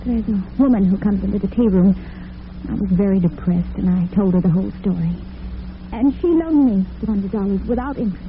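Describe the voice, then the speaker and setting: A woman's voice: medium pitch, brisk, lightly acted. A woman playing a devoted, nervous and defensive young working daughter in an old-time radio drama. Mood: evasive, pleading. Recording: tinny, noisy vintage radio broadcast.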